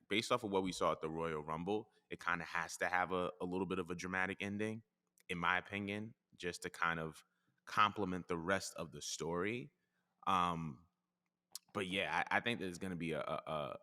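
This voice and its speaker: A man with a low voice and a bright, forceful edge, hosting a casual podcast. He talks in a measured, hedging way and leans on fillers. A long reverb trails behind his words. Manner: measured, hedging, many ums and I-feel-likes. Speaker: podcast host.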